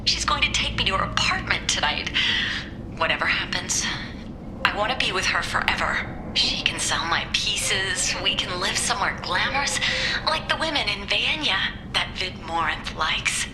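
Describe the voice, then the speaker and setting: A woman's voice: mid-range voice, lively intonation, normal speed, over music, close to an everyday voice, poor audio. An actress playing an infatuated, insecure young woman in a video game, confiding dreamily in her diary. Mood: smitten, self-doubting.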